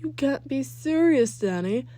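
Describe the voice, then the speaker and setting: Woman playing a story father, loud, confident, mellow timbre, faint noise behind. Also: high voice, expressive, unhurried, voice pushed up. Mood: loud, concerned. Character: loud, confident father who hunts ghosts.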